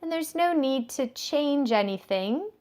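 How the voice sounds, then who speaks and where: Slow-paced woman guiding meditation. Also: high voice, expressive. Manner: soothing, measured, gently instructive. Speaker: yoga nidra instructor. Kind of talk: guided meditation instruction.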